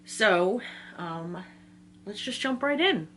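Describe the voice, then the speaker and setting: A woman's voice: medium pitch, animated, slow pace, a bit bright, a little hiss or hum. A woman talking casually in an informal, self-deprecating way, like a book vlogger running through a reading list.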